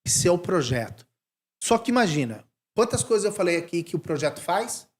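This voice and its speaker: A man from Brazil in his forties, a missionary talking casually in an interview, animated and thinking aloud with restarts. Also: high-pitched, a little fast. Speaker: missionary guest.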